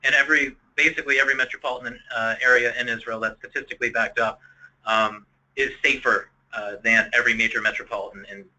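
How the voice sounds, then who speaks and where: A man from Ohio giving a webinar talk in a loose, chatty way, with lots of ums and self-corrections. His voice is very bright and forceful, and his pitch sits mid-range for a man. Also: fairly even intonation, fast talker, rough recording. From Ohio, United States. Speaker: tourism office presenter. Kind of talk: casual presentation.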